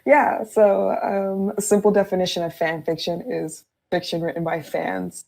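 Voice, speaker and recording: A woman's voice: on the low side, flat delivery, normal speed, gravelly, darkish tone. A woman speaking as a podcast guest, explaining things in a casual, conversational style. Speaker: podcast guest, fan fiction writer.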